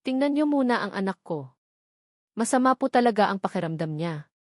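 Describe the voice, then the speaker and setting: A woman's voice: medium pitch, expressive, brisk, no room sound, studio quality, natural voice. A woman voicing a desperate, pleading poor mother in an audio drama.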